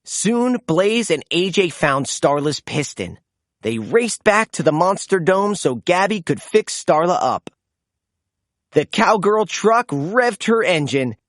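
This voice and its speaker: Unhurried, animated woman storyteller. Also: fairly low pitch, dry recording, clean studio sound. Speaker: storybook reader. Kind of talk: animated children's read-aloud narration.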